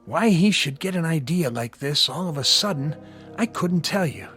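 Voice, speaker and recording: An actor playing a frank, world-weary army medical sergeant in a war radio drama. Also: male voice, on the high side, expressive, normal speed, a bit bright, faint music behind. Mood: frank, puzzled. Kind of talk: audio drama acting.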